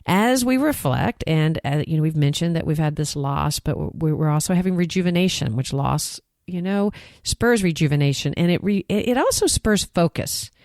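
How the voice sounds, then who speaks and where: A woman's voice: low-pitched, animated, normal speed, hardly any pauses, a little mellow, dry recording, clean studio sound. A woman with a Texan accent co-hosting a therapy podcast in casual, earnest chat, laughing easily.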